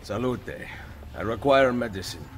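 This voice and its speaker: An actor playing an older Italian assassin in a video game, measured and courteous, with an Italian accent. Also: male voice, medium pitch, animated, soft background music, natural voice. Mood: courteous, determined. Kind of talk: video game cutscene acting.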